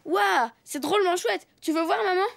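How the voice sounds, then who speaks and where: Little cartoon elephant boy: very high, eager, cocky, loud music behind. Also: moderate pace, creaky. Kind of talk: French cartoon dub acting.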